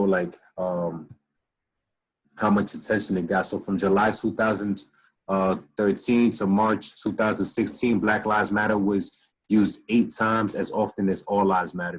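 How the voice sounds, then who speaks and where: A young man gives a late-night online lecture over a laptop video call. His voice sits low and a little husky. He reads passages aloud, then explains them in loose street slang, sounding tired and slightly slurred. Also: rough recording. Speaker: instructor. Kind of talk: casual online lecture reading from web pages.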